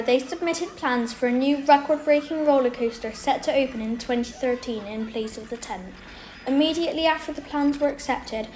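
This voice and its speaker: A woman reading a scripted documentary narration in an explanatory style. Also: fairly high pitch.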